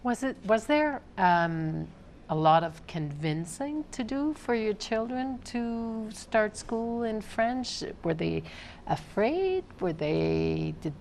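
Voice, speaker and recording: A woman hosting a local TV interview, asking slow, careful questions with small hesitations. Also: mid-range voice, lively intonation, vocal fry, darkish tone, slight background noise. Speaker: TV host. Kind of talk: interviewing guests. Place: TV studio.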